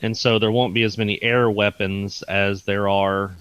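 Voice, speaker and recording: A man's voice: on the low side, flat delivery, normal speed, fairly bright tone, clear, resonant tone, no echo, muffled recording. A man on a gaming podcast panel, explaining things in casual, somewhat hesitant talk. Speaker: podcast panelist and game staff member. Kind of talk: casual podcast chat about an online game.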